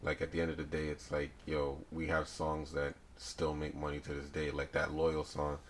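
Deep-voiced guy in his 40s hosting a podcast, gets loud and laughs a lot. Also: moderate pace, slight background noise. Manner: animated, loud bursts, laughs often. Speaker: podcast host. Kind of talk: casual podcast chat.

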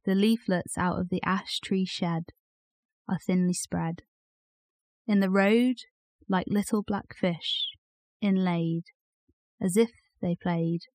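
A woman reciting a poem in a measured manner, with deliberate pauses between short phrases. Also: fairly low pitch, darkish tone, no room sound. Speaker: poetry reader. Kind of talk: poetry recitation.

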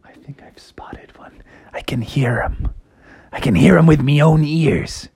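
An eager frog hunter from a children's story, played by a man, earnest and exclaiming. He is well-meaning and excitable, in a close, dry recording. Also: a little high, normal speed, creaky, breathy edge, dry recording, exaggerated voice. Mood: sneaky, whispering.

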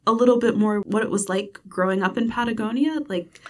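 A woman's voice: mid-range voice, fairly even intonation, brisk, darkish tone, far from the mic. A woman hosting a podcast interview, asking friendly questions in a casual way. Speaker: podcast host.

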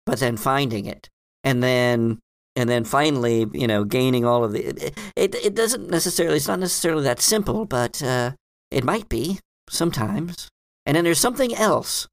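A man voicing a cryptic, hesitant librarian woman who explains hidden lore in a halting, musing way in a tabletop game. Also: mid-range voice, lively intonation, creaky, no room sound, pitched above the performer's own voice. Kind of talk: improvised tabletop roleplay.

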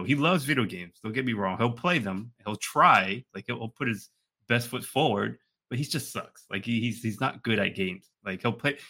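A man co-hosting a podcast in casual conversation, emphatic, often repeating phrases and checking in with a quick "right?". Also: mid-range voice, bright, forceful tone. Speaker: podcast co-host.

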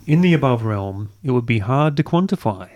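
Croaky-voiced male podcast host. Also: mid-range voice, lively intonation, normal speed, darkish tone, lo-fi sound. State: croaky from a cold. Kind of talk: casual podcast chat.

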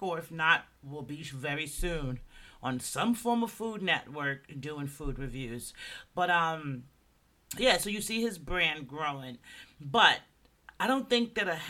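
Guy hosting his own podcast, just chatting, keeps asking "right?", kinda high and bright sounding. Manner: conversational, loose, asks "right?" often. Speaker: podcast host. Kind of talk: casual solo commentary.

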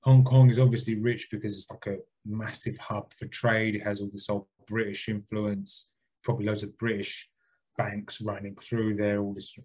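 A man's voice: on the low side, level tone, normal speed, warm tone, slightly rough, poor audio. A man co-hosting a podcast, reading out a listener email and then rambling, hedging and swearing freely in casual chat. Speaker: podcast co-host.

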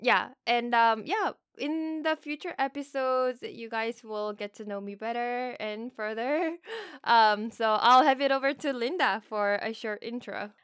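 A woman's voice: a little high, unhurried, a bit bright, clear, resonant tone, no room sound, studio quality. A young adult woman from Korea with a Korean accent, co-hosting a podcast in casual chat, laughing often and hesitating with fillers. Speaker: podcast co-host.

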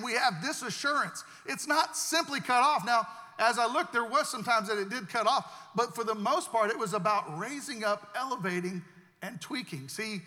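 Male pastor, high bright tone, earnest sermon delivery, church hall reverb. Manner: earnest preaching with repeated words and restarts.

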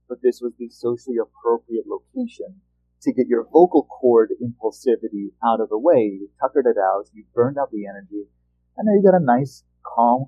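A male therapist hosting a podcast, explaining treatments in a measured, patient way. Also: medium pitch, husky. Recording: tinny phone-like line.

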